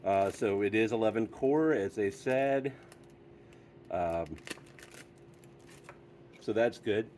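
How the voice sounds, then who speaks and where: A man's voice: fairly low pitch, takes it slowly, pauses often, darkish tone, slight background noise. A man hosting a casual product review, talking in an easygoing, chatty way with frequent fillers.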